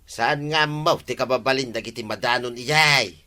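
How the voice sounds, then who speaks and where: A man's voice: mid-range voice, moderate pace, pushed and bright, lightly acted. An actor with an Ilocano accent playing an older village man with rheumatism in a radio comedy, talking in a plain, mild way. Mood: worried. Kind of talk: 1950s-style radio comedy acting.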